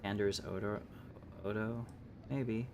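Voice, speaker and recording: Male game streamer, lowish voice, casual, thinking out loud, some background noise. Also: fairly even intonation, slow pace. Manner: musing aloud, hesitant thinking out loud. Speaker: game livestreamer, host. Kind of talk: casual live gameplay commentary.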